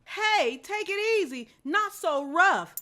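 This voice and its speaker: Storybook heroine dog, female, indignant, urgent, high and animated, played by a woman narrator. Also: takes it slowly, very noisy, heavily acted. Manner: exclamatory, urgent. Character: feisty female border collie, indignant born leader.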